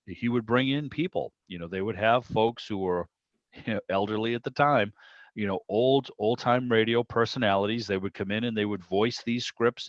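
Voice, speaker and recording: A man chatting casually as an interviewer, telling anecdotes in a rambling way over a video call. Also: fairly low pitch. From Boston area, United States. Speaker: interviewer and club host. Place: indoor room on a video call. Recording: roomy, dull lo-fi call audio.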